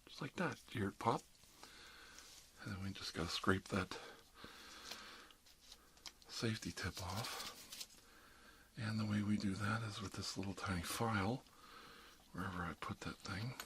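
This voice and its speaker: A man playing a gentle, reassuring dentist in a soft-spoken ASMR roleplay, murmuring hesitantly and often slipping into a whisper. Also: fairly low pitch, a little slow, long pauses, background noise, lightly acted. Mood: focused, reassuring. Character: gentle dentist treating a vampire patient.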